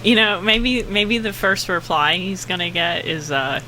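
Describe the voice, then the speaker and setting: Bright, dry-humoured American woman co-hosting. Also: lowish voice, unhurried, a little hiss or hum, rough recording. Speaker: radio show co-host. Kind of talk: casual comic banter.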